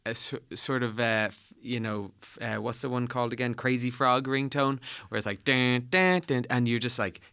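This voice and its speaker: A man's voice: medium pitch, moderate pace, few pauses, bright, forceful tone, clean tone, no room sound. A man co-hosting a podcast in casual discussion, hesitantly pushing back with dry humour. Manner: hesitant, mildly contrary, dryly joking. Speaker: podcast co-host and producer.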